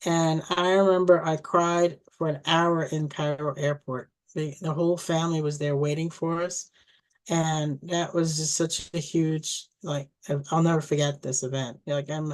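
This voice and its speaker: A woman's voice: deep voice, little pitch movement. Woman in her sixties reminiscing. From Egypt. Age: 60s. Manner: reminiscing, halting with restarts, soft laughs. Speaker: family storyteller. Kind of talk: personal life story, casual recollection.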